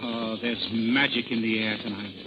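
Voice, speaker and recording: An actor playing a young, flamboyant, teasing rogue poet, declaiming floridly in 1930s radio drama style. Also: male voice, lowish voice, moderate pace, creaky, noisy background. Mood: playful. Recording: muffled 1930s radio broadcast, crowd behind.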